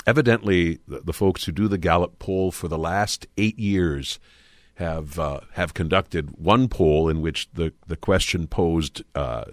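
Very deep-voiced male interviewer, close and dry. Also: unhurried, no room sound. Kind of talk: conversational interviewing.

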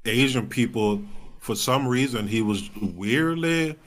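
A man in his thirties talking casually as a podcast guest, informal and blunt, with halting phrasing. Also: medium pitch, lively intonation. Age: 30s. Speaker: podcast guest, stand-up comic. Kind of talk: casual conversational interview.

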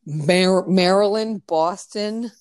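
A woman's voice: medium pitch, slow, darkish tone, no room sound, clean studio sound. A woman hosting a podcast, chatting bluntly with frequent swearing. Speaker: podcast host. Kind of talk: casual podcast chat.

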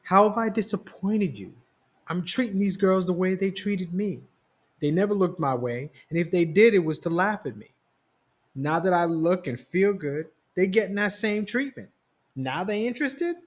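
A man's voice: fairly high pitch, animated, normal speed, fairly bright tone, voice pushed up. A man doing a cocky, defensive teenage boy. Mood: defensive, angry. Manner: angry but respectful.

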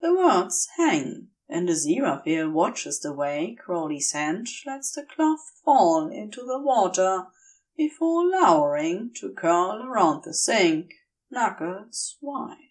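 A woman's voice: medium pitch, very animated, slow, far from the mic. A woman narrating a scripted piece of fiction as an audiobook-style reader. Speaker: podfic narrator.

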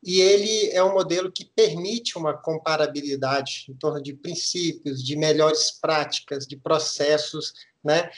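A middle-aged man from Minas Gerais with a mineiro Brazilian accent, explaining a topic in a podcast interview with measured, hesitant restarts. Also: a little high. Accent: Minas Gerais Brazilian Portuguese. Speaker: guest auditor.

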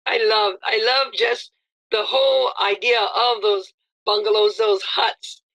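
A woman's voice: mid-range voice, steady tone, a little slow, a bit bright, low-quality recording. A woman hosting an interview, effusive and complimentary, with many fillers. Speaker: interview host. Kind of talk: friendly interview chat.

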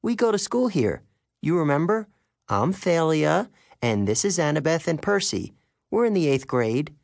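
A confident teenage girl from a storybook, put on by a man reading aloud. Her voice is pitched up and moves around freely, breezy and bold. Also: sing-song, normal speed, vocal fry, a little mellow, dry recording, clean studio sound. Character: bold, self-assured teenage schoolgirl.

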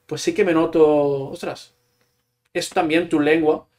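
High-voiced man, relaxed talker. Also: measured pace. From Catalonia, Spain. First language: Catalan. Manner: relaxed, explains at length with fillers. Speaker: guest, Spanish teacher. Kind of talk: casual interview chat.

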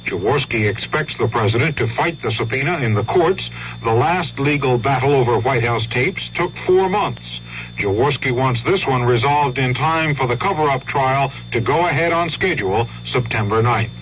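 A man files a scripted correspondent's report in a medium-pitched voice. The old radio sound is lo-fi and slightly noisy. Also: normal speed, fairly bright tone. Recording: dull noisy 1970s AM radio recording.

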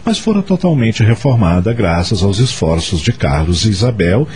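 A man's voice: lowish voice, big pitch swings, normal speed, darkish tone. A man narrating a radio soap opera in a scripted, dramatic storytelling style. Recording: music under the voice. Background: background music.

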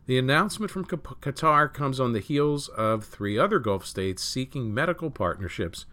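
Man reading scripted news, measured and formal. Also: medium pitch, expressive, bright, forceful tone. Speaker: news reader and show host.

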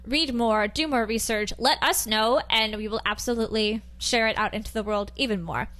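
Woman, casual podcast co-host, rambling, filler words, fairly high pitch. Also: normal speed, a bit bright. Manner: chatty, rambling with many fillers. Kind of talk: casual chat.

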